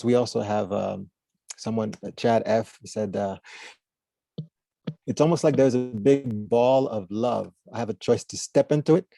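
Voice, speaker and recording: A man moderates a discussion in a fairly low voice with heavy vocal fry. He speaks off the cuff, halting and searching for words, with little room sound. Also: warm tone, no room sound. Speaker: roundtable moderator. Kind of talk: unscripted moderating.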